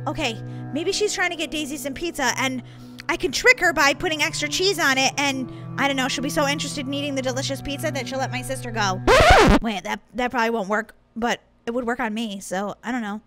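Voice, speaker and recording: A woman plays an excitable young big sister with an extremely high, girlish voice. She is chatty and dramatic, exclaiming in an exaggerated way as in a children's toy story, with prominent music behind her. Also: fairly quick, lightly acted. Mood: nervous chatter. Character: dramatic, excitable big sister girl Molly, kid's toy-play character.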